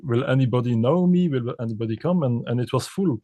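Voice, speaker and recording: A man from Belgium, a composer and pianist, answering interview questions in halting with many restarts and repeated words. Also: medium pitch, animated, mellow, dry recording.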